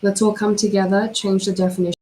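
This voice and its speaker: A hoarse woman with a cold, giving a formal prepared talk over a video call from home at night. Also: mid-range voice, flat delivery, warm tone, low-quality recording. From Australia. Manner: measured, formal, with occasional fillers. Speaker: panel speaker, cyber criminologist. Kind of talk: formal prepared online presentation.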